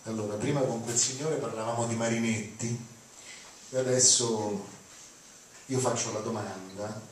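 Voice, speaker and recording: A man's voice: mid-range voice, fairly even intonation, normal speed. An older man from Rome giving an informal lecture, conversational and digressive, seated on stage with a handheld mic. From Rome, Italy. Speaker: lecturer introducing readings. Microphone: handheld mic, recorded from audience. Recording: echoey hall, distant mic, noisy.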